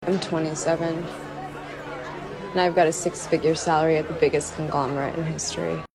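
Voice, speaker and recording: A woman's voice: low-pitched, little pitch movement, a little fast, a crowd in the background. Female film clip line, confident and matter-of-fact, urban noise behind.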